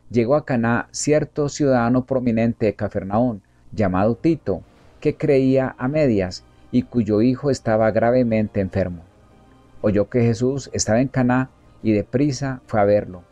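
A man's voice: medium pitch, dark tone, dry recording, dull audio. Solemn man reading scripture-like text. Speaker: reader. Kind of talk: measured scripted book reading.

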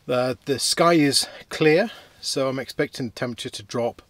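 Male outdoor vlogger, mid-pitched, relaxed and chatty, filming himself in a winter tent. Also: moderate pace, no room sound. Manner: relaxed, chatty, thinks aloud with fillers. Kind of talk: casual gear review and camping vlog. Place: inside a canvas hot tent in winter.

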